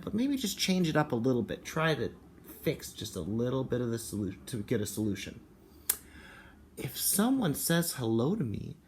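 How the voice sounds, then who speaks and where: A man with a medium-pitched voice teaches kindergarten-age children. He speaks in simple, encouraging phrases with plenty of expression, as in a picture book read-aloud. There is faint noise in the recording.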